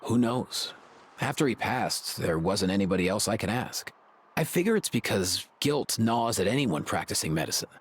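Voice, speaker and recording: A man's voice: lowish voice, slightly rough. A man playing a laid-back, friendly young veterinarian in a video game, talking in breezy dude slang. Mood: reflective.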